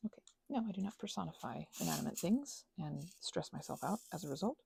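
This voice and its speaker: A woman's voice: on the low side, animated, fast, gravelly, darkish tone, husky, dry recording. A woman chatting casually while she does crafts, whimsically interrupting herself and talking to herself and to objects. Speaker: craft and ASMR video creator.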